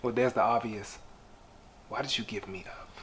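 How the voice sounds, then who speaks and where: A man's voice: on the low side, fairly even intonation, normal speed, fairly bright tone, a little hiss or hum, natural voice. A man acting a bitter, guarded young adult abandoned at birth, sardonic and accusing in a screen drama. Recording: music clearly behind the voice.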